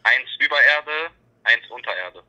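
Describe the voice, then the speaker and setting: Young man from Berlin, casual caller, bright edgy voice, slangy and blunt. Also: medium pitch, expressive, a little slow, muffled recording. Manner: cocky street slang, blunt and dismissive. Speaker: caller in a random chat. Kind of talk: casual phone chat.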